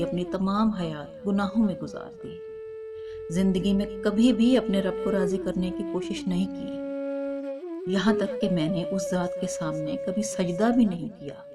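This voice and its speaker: A woman's voice: mid-range voice, big pitch swings, measured pace. A woman voicing a remorseful young sinner on his deathbed, pleading sorrowfully with his mother in a moral story. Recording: loud instrumental flute music behind.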